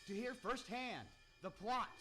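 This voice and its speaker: A man's voice: extremely high pitch, animated, measured pace, loud music behind the voice. A man hosting and narrating a live radio theater broadcast from a studio room. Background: background noise.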